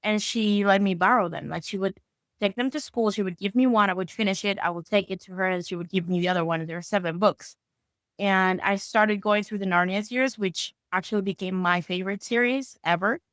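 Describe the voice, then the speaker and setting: A woman's voice: mid-range voice, a little fast, fairly bright tone, no echo. Venezuelan woman in her 30s, spanish is her first language, chatty storyteller, dry mic. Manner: friendly, storytelling, uneven pace. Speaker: guest author. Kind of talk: casual interview chat.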